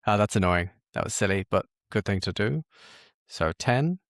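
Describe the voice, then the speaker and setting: A man with a lowish voice and a strong creak talks through a software walkthrough. He sounds informal, musing and correcting himself as he goes. The sound is close and dry. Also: animated, normal speed, no echo. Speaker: host of software tutorial. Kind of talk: casual screen-share walkthrough, thinking aloud.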